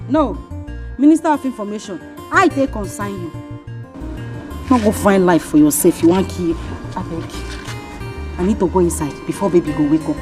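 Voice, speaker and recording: A woman's voice: on the low side, moderate pace, long pauses, close to an everyday voice. An actress playing a feisty, exasperated young woman in a drama, with a Nigerian accent and a brusque, teasing manner. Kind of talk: Nigerian Pidgin film drama acting. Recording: music behind the dialogue.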